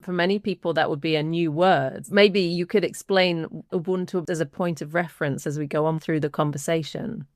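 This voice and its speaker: A woman hosting a podcast interview, asking calm, measured questions. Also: low-pitched, dry recording, clean studio sound. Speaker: podcast host.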